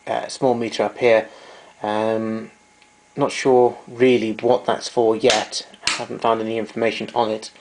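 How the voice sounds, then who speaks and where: A man giving an informal technical demonstration in a hesitant, halting way, as a hobbyist showing equipment. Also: medium pitch, poor audio. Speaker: vintage tape recorder enthusiast demonstrating equipment. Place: indoors, small domestic room.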